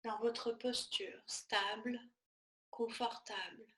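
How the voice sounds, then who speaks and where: A woman teaching yoga nidra, guiding a relaxation meditation in a soothing, measured manner with short, spaced-out phrases. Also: a little high, fairly even intonation, fairly bright tone, reverberant.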